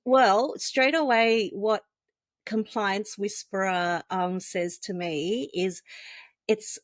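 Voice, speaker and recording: A bubbly woman, whose was Vietnamese, talks through her work as a guest on a call. Her voice sits in a middle pitch and is recorded close and dry, with no room sound. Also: steady tone, slow, no room sound. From Australia. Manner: bubbly, laughs easily, explains things step by step. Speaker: guest, compliance consultant. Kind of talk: casual interview chat.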